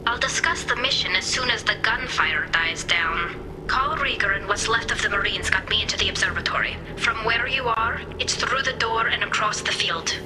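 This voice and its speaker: An actress voicing an earnest young female quarian engineer who speaks softly and hushed, then turns eager, in a video game. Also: on the high side, normal speed, close to an everyday voice, low-quality recording. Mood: urgent. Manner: soft, hushed and wondering, turning eager. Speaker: alien engineer ally. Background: game music.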